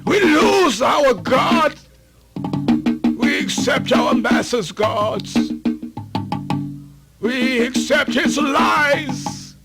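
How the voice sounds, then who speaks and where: An aged folktale storyteller acted by a man speaks slowly in a very high, gravelly voice over prominent music. He is weary but proud and defiant, folksy and solemn, drawing out his words like an orator in radio theatre. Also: lively intonation, exaggerated voice. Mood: solemn, prophetic. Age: older. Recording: music playing under the voice.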